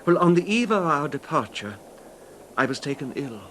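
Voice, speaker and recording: An actor playing an emotionally fragile, apologetic husband who hesitates and stammers in a 1970s radio drama. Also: male voice, a little high, very animated, normal speed, a bit bright. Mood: wistful, reflective. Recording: noisy 1970s radio broadcast recording.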